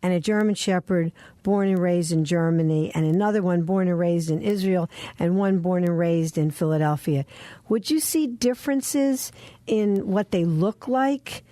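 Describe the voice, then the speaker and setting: A woman hosts an interview, asking questions in a chatty, playful way. Her voice is mellow and warm. The recording is dry and close. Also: fairly low pitch, moderate pace, no room sound. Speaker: interview host. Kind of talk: radio interview.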